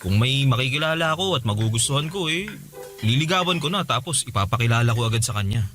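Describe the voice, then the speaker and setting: Actor as young working man, Filipino accent, mid-pitched, animated swings, teasing, conversational, radio drama. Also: quick pace, soft background music, lightly acted. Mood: casual, teasing.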